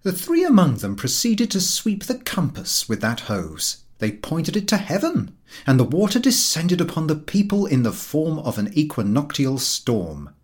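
A man's voice: on the high side, huge pitch swings, moderate pace, husky. A man reading a comic novel aloud as scripted audiobook narration.

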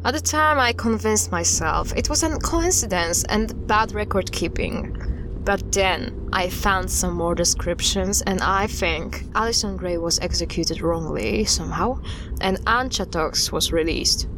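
A woman playing a guarded investigator in an audio drama, recounting an unsettling case in a halting, deliberate way. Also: mid-range voice, noisy background, close to an everyday voice. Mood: intent. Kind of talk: audio drama dialogue, storytelling.

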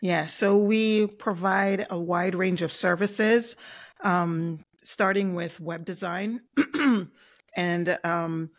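A middle-aged woman with a light Jamaican accent chats as an interview guest. She speaks in a friendly, measured way and pauses often to find her words. The sound is dry and close, with no room echo. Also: on the low side, fairly even intonation. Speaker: guest, web designer and digital marketer. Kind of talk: casual interview chat.

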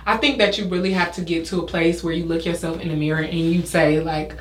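A woman's voice: on the low side, steady tone, quick pace, a little hiss or hum. A young woman hosting a casual podcast chat, giving her opinions in an emphatic, informal way. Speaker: podcast host, influencer. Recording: echoey, roomy indoor podcast sound.

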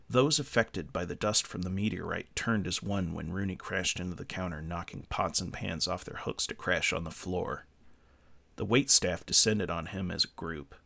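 A man's voice: deep voice, vocal fry, pushed and bright. A man reading scripted horror fiction as a podcast narrator, with music behind him.

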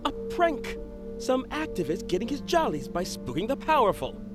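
A woman's voice: fairly high pitch, very animated, normal speed. A woman playing a sceptical, dismissive aide in an audio drama, matter-of-fact in manner. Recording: loud music behind the voice.

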